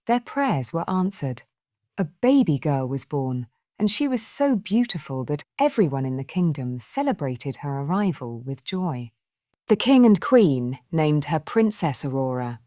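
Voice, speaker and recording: Low-voiced woman telling a fairy tale. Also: darkish tone, no room sound, studio quality. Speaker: storyteller. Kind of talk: scripted fairy tale narration for children.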